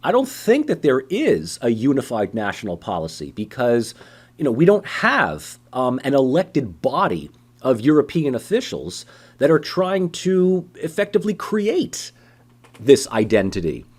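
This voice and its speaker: A male lecturer talks through politics in a loose, conversational style. His pitch swings widely as he poses rhetorical questions and tosses off quick imitations of everyday people, and he keeps coming back to "you know" and "um". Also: slightly rough. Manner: animated, acts out voices of ordinary people. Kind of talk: recorded university lecture.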